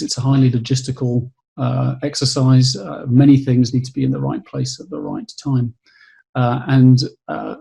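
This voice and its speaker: A man talking about his company for a webcast, measured and hesitant, with many fillers and repeated words. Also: mid-range voice, vocal fry, dark tone, husky. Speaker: company executive. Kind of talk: webcast pitch.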